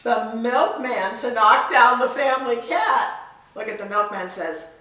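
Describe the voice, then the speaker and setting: A woman reads a children's story at an unhurried, slow pace in a fairly high voice. She is expressive and chats to her young listeners between sentences. The recording is very echoey. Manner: expressive, chatty asides and questions to young listeners. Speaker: volunteer storyteller. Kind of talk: read-aloud picture book storytime for children. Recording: echoey room, far from the mic.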